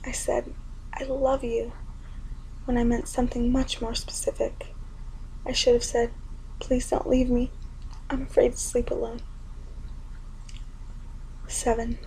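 A young woman performing spoken word poetry in an intimate, often whispered delivery with a gravelly edge. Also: a little high, little pitch movement, a little slow, pauses often, a little mellow, a little hiss or hum, low-quality recording.

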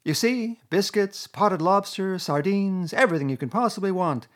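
A man voicing a boastful, excitable male toad in a storybook reading, loud and gushing. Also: on the high side, normal speed, pitched above the performer's own voice.

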